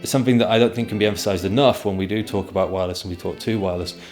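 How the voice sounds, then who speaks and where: A man speaks in an interview as a director. His voice is on the low side, and faint guitar music sits behind him. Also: steady tone, rapid-fire, darkish tone.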